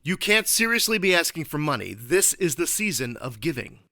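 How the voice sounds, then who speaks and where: An actor playing an earnest, stuffy caped crime fighter with a formal, straight-laced manner in a comedy radio play. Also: male voice, on the high side, very animated, moderate pace, bright, forceful tone, no room sound, clean studio sound, exaggerated voice. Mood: flustered.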